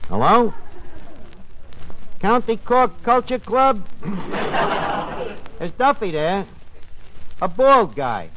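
A breezy tavern manager in an old radio comedy. His New voice is high and swings freely as he mangles his grammar in working-class slang, on a noisy vintage recording. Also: sing-song, drawn-out words, pauses often, exaggerated voice. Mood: busy, fretting. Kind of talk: 1940s radio comedy acting.

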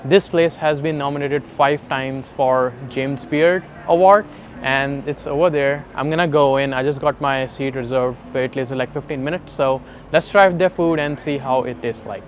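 A man's voice: medium pitch, moderate pace, slight background noise. A young man hosting a food vlog, speaking on a lapel mic in a restaurant dining room and describing each dish casually as he tastes it. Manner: relaxed, describes each dish while tasting and chewing. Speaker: food vlogger host. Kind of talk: casual food review narration. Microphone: clip-on lapel mic. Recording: restaurant crowd chatter behind. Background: diners chatting.

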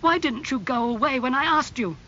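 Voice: medium pitch, big pitch swings, normal speed, bright, forceful tone, muffled recording, a little hiss or hum, pitched above the performer's own voice. A narrator playing an earnest, wounded male painter and devoted friend in a dramatised audiobook. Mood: distressed, angry.

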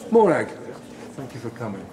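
An actor playing a courteous, condescending, disapproving widowed psychiatrist in a radio drama, speaking formally. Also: male voice, mid-range voice, sing-song, normal speed, a crowd in the background, close to an everyday voice. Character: smooth, prim, disapproving male psychiatrist, newly widowed.

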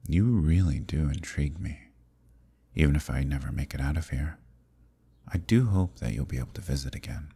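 A man playing an ancient, imprisoned eldritch villain in an ASMR roleplay, seductive and lonely, with a deep, breathy voice and an intimate, teasing manner. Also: a little fast, mellow, heavily acted. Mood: wistful, teasing. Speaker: voice actor.

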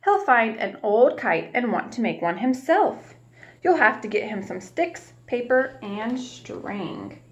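A woman's voice: on the high side, sing-song, slight background noise. Young woman reading a picture book aloud. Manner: warm teacherly, asks the children questions and pauses. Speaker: preschool teacher reading aloud. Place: small room at home by a window. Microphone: webcam at arm's length.

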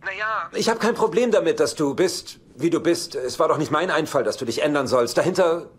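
An actor playing a sardonic, scheming older brother in a sitcom dub, glib and mock-sincere. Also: male voice, a little high, lively intonation, brisk, natural voice. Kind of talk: German sitcom dub acting.

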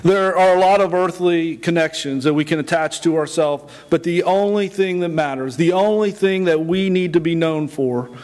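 Higher-pitched man preaching, halting delivery. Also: a little mellow. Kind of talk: sermon with scripture readings. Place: indoor church, at a wooden pulpit.

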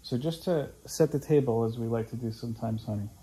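A man's voice: mid-range voice, fairly quick, mellow, poor audio. A man in his forties, hosting a casual home video, talking in a rambling, chatty way with plenty of fillers. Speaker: host. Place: living room at home, indoors.

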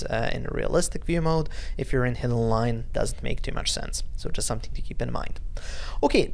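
Male tutorial instructor, thirties, Serbian accent, creaky mid-pitched voice, casual step-by-step delivery, close mic. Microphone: close desk microphone.

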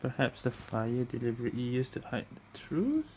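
A man's voice: medium pitch, wildly swooping pitch, normal speed, noisy background. A man making casual, offhand comments while playing a game. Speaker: game player reacting. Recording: game music behind the voice.

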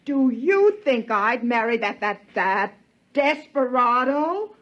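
A woman's voice: on the high side, animated, slow pace. A woman playing a proud, bossy young lady who scorns men, in emphatic 1950s radio drama style. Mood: indignant. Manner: haughty, emphatic. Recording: muffled 1950s radio recording.

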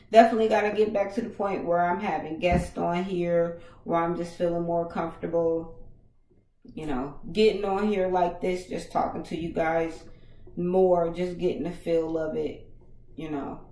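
A woman in her thirties with a naturally raspy voice, hosting a casual livestream podcast from a small home studio on a headset mic, chatting loosely with viewers in slang. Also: on the low side, steady tone, a little slow, mellow, echoey room, rough recording. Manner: laid-back, slangy, talks to viewers in chat. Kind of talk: casual livestream chat reading comments. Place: small home studio with foam panels, indoors. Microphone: over-ear headset mic.

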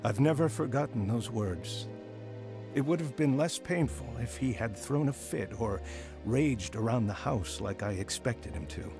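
A man's voice: lowish voice, lightly acted. An actor playing a reflective, remorseful man who narrates his own youth in a radio drama. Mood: sorrowful, regretful. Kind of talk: scripted first-person drama narration. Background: music.

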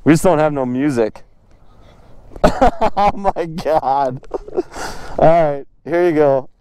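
Young male motovlogger, easygoing joker, slightly higher voice with a mellow tone, faint street noise behind. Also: slow. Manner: laid-back, joking, laughs often. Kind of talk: casual vlog chat. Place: outdoors on a residential street. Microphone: helmet-mounted action camera.

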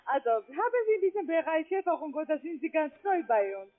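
Really high voiced telesales lady, reading her pitch down a tinny phone. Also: very animated, a little fast. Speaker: call centre sales agent. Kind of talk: scripted phone sales pitch. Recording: muffled tinny phone line.

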